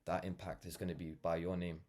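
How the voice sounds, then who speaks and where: A young man from London with a notably low voice. He talks off the cuff in a reflective, earnest monologue. His delivery rambles, leaning on "like" and "you know" as he goes. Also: level tone, fast, a little mellow. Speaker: vlogger, brand founder. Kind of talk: casual reflective monologue to camera.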